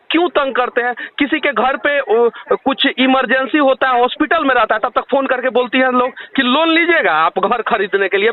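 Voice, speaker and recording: Man, Bihari Hindi accent, very high voice, argumentative, insistent, sarcastic, heated complaint, narrowband phone recording. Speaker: caller confronting a loan telemarketer. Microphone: phone line.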